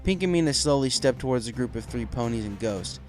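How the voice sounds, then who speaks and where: A man's voice: mid-range voice, expressive, a little fast, soft background music. A man giving scripted narration of a dramatic story as its narrator.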